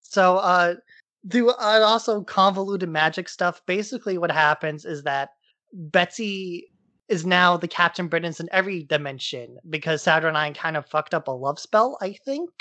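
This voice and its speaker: A man's voice: high voice, moderate pace, pushed and bright, no echo. Dry-sounding man, casual podcast host. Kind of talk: casual comic-book recap.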